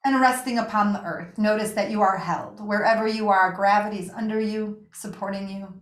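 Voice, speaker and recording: A woman leading a guided meditation as a yoga nidra teacher, speaking in a gentle, soothing, unhurried way with pauses. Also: mid-range voice, steady tone. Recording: echoey room.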